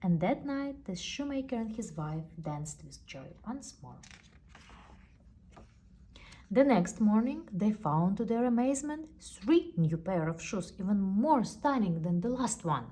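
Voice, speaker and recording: A woman's voice: medium pitch, lively intonation, moderate pace, hardly any pauses, dark tone, slightly rough. A woman with a non-native English accent reading a children's storybook aloud expressively. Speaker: storybook reader. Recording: faint background noise.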